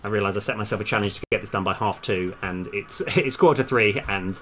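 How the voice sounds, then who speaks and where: A man's voice: fairly low pitch, fast talker, a bit bright. A man chatting casually on a live coding stream, hesitant as he thinks aloud and trails off. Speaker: live-streaming game developer. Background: music, keyboard typing.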